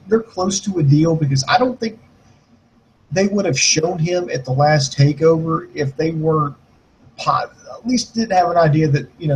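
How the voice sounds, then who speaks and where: A man's voice: fairly high pitch, darkish tone, slight background noise, rough recording. A man co-hosting a wrestling podcast in casual chat, answering listener questions in a blunt, joking way. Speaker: podcast co-host.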